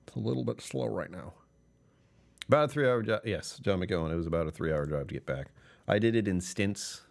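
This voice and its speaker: Tired, very low-voiced man rambling. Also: creaky, a little mellow. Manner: rambling, chuckling, talking to chat. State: tired, voice lower than usual. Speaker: livestream host. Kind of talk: casual live stream chat.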